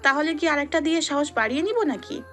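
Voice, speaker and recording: A confident senior student lays down the law, firm and protective of her friend, as a woman plays her. Her voice is high and steady, and faint music runs underneath. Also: quick pace. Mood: stern. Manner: firm, commanding.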